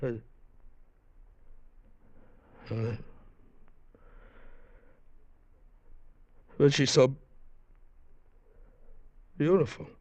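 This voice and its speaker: A man acting a tall, embittered, unstable Marine in 1940s radio style, with rough, slangy, hesitant soldier talk. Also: medium pitch, takes it slowly, a little mellow, no room sound, close to an everyday voice. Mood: pleading. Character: tall embittered Marine gone half-crazy, threatening yet lovesick. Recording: old-time radio broadcast recording.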